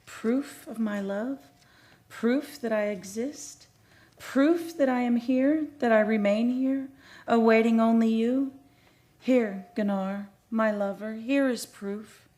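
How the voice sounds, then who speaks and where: A woman's voice: fairly high pitch, takes it slowly, warm tone. A woman playing a tender, lovelorn ghost in a radio play, speaking in solemn, archaic, incantatory phrases. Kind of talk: old-time radio play acting. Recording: music under the voice.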